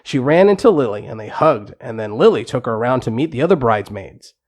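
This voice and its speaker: A man's voice: medium pitch, expressive, fast talker, darkish tone. A man narrating a scripted bedtime story for kids.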